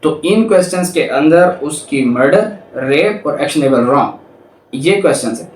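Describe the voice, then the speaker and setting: A man's voice: fairly high pitch, lively intonation, moderate pace. A man with a Pakistani accent giving a methodical law lecture, reading clauses aloud and explaining them. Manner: methodical, didactic, explains with everyday examples. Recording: echoey room, distant microphone.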